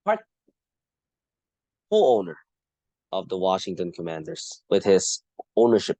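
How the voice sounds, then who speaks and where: Guy hosting his own sports show, lowish voice, dry close sound, keeps restarting mid sentence. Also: huge pitch swings, warm tone, no echo. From Philippines. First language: Tagalog. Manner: earnest and halting, often restarting phrases mid-thought. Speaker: podcast host. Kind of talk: solo sports commentary.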